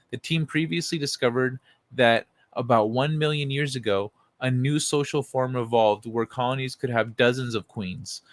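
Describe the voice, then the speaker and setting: A man hosting a livestream in an informal, excitable, sweary way, talking casually and reading things aloud. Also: mid-range voice. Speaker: livestream host.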